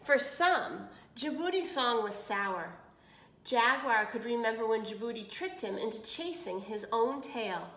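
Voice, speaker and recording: A woman's voice: a little high, expressive, measured pace, fairly bright tone, slight background noise. A woman reading a picture book aloud to children as a storyteller, expressively, with little asides and questions. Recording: echoey room.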